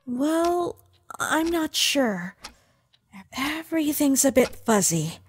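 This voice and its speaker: A woman voicing a guarded young female skeleton, soft-spoken and halting, in a comic dub. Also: high voice, big pitch swings, heavily acted. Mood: confused. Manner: hesitant, halting.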